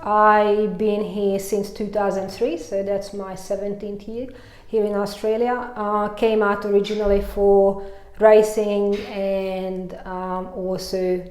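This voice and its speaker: Woman, Hungarian accent, mountain bike coach, chatty with lots of fillers, flat-sounding delivery, roomy echo. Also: mid-range voice, slow. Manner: chatty, laughing, many "you know" fillers. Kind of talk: casual interview chat. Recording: echoey room.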